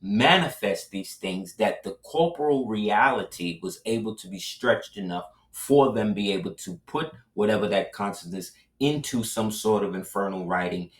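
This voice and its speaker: Man, host, casual commentary, tag questions, low voice, roomy echo. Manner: loose, repeats tag questions, chuckles between points. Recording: roomy, distant mic.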